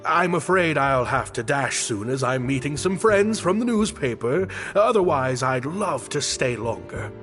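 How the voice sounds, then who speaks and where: An actor playing a theatrical, high-strung troupe director in a video game, with grandiose, emphatic delivery. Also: male voice, expressive, unhurried, hardly any pauses, a bit bright. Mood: courteous. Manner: grandiose, emphatic, florid phrasing. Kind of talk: dramatic game voice acting. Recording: reverberant studio voice with music. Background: background music.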